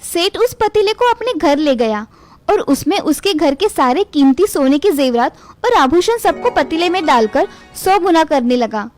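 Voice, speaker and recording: A woman's voice: high voice, a little mellow. A woman reading a scripted moral tale for children as the narrator.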